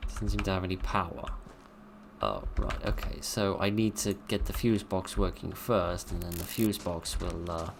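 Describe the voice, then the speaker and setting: A deep, low-pitched man, his voice worn hoarse after a long session, talks his way through a game in a dry, wry ramble. Keyboard typing is clearly heard in the background of his desk recording. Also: expressive, moderate pace, noisy background. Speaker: gameplay commentator. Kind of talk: let's play commentary.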